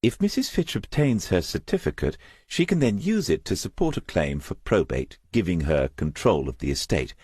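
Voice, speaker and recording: A man's voice: low voice, very animated, normal speed, hardly any pauses, darkish tone, husky, dry recording, voice pushed up, heavily acted. A man voicing a young corporate insurance woman in an audiobook, with a polite, formal, matter-of-fact manner. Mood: informative, polite.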